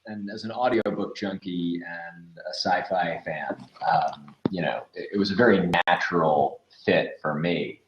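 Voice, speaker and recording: A man's voice: low-pitched, a little slow, few pauses, noisy background. A man who works as a voice actor, chatting casually as an interview guest. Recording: echoey room, dull lo-fi audio.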